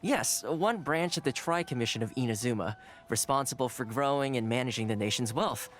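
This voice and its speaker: A man's voice: medium pitch, brisk, fairly bright tone, soft background music, close to an everyday voice. A man voicing an affable young local fixer in a video game, breezy, persuasive and politely teasing. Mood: explanatory, easygoing.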